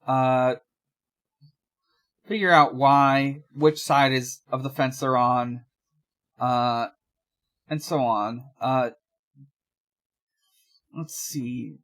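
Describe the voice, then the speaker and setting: A podcast host with a very bright, sharp voice at a middling pitch. The delivery is casual and rambling, halting as it goes along in loose chat. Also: fairly even intonation.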